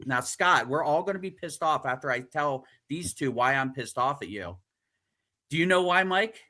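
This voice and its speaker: A man's voice: a little high, expressive, normal speed, sharp tone. A man co-hosting a card podcast, joking, swearing and laughing often in casual chat. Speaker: podcast co-host.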